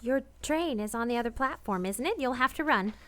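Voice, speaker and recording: Actress playing a reserved, quietly smitten wife. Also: female voice, on the high side, expressive, fairly quick. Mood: conflicted. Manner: polite, hesitant, restrained. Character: reserved, proper married woman, quietly smitten and conflicted. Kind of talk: radio play romantic drama acting.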